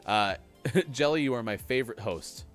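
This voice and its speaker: A man's voice: medium pitch, expressive, moderate pace. A man hosting a casual morning gaming stream, reading daily trivia and laughing often. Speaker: host. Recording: faint music behind.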